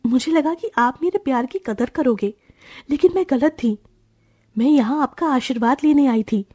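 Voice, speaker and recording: A woman voicing a spirited young bride from a wealthy home, emotional and pleading. Her tone is mellow and a little husky, recorded dry and close. It suits a cartoon moral story. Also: sits high, moderate pace, no room sound, pitched above the performer's own voice. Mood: defiant. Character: rich young bride, spirited, kind-hearted.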